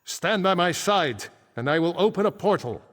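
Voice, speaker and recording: An ancient wizard for a fantasy game, grave n formal, slightly high voice, big long echo. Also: male voice, animated, normal speed, a bit bright. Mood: solemn, urgent. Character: solemn ancient male archmage returned from death. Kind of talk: fantasy video game dialogue. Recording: echoing game hall with long reverb.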